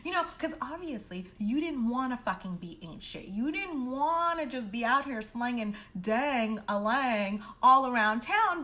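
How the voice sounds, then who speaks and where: A woman casually telling a personal story in an animated, slangy, heavily profane way, acting out the dialogue as she goes. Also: a little high. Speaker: storytime vlogger.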